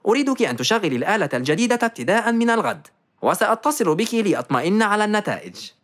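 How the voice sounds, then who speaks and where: Actor voicing a gentle cartoon fox inventor, kind and reassuring, lively delivery. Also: medium pitch, racing. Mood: kindly. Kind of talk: cartoon dialogue.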